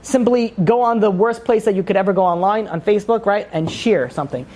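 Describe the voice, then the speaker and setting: A woman teaches a religious class at a rapid clip. Her pitch sits in an average range for a woman. She is emphatic and informal, firing questions at the group and then answering them herself. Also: darkish tone, slight background noise.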